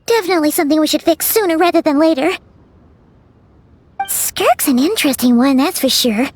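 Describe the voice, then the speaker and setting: A tiny, loyal fairy sidekick with an extremely high, childlike voice. The delivery is excitable and chatty, swooping widely in pitch, in an anime game style. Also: female voice, fairly quick. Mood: worried, pondering. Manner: speaks of self in third person, exclamatory, hesitant asides.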